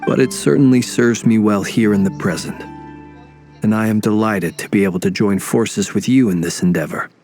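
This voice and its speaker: An ancient fae warrior from a video game, voiced by a man, dignified and courteous. He speaks formally and thoughtfully in a fairly low voice, with clear music behind him. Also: darkish tone, lightly acted. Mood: gracious. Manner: formal, measured phrasing. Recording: music underneath.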